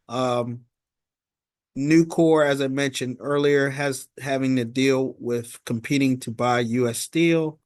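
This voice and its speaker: Male finance vlogger, mid-range voice, informal and halting recap style, circles back on points, close dry sound. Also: medium pitch, no room sound. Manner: halting and repetitive, punctuating points with "okay?". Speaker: host of a stock market recap. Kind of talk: informal stock market commentary.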